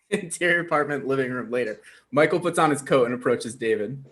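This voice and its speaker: A man's voice: medium pitch, a little fast, fairly bright tone. A man reading stage directions as narrator in a scripted comedy table read.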